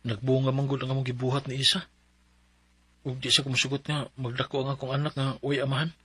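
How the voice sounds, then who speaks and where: A man's voice: mid-range voice, level tone, quick pace, a bit bright, slightly rough, no room sound. An actor playing an earnest, dutiful man in his thirties with a Cebuano accent in a radio drama. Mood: earnest, responsible. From Philippines. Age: 30s. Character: earnest, dutiful young Cebuano man, troubled letter-writer. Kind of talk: Philippine radio drama acting with letter narration.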